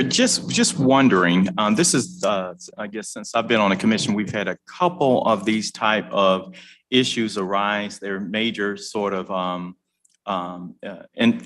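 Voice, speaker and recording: A man's voice: on the low side, normal speed, fairly bright tone. A male commissioner raising a question at a public meeting, rambling with many ums and restarts. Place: county commission meeting room.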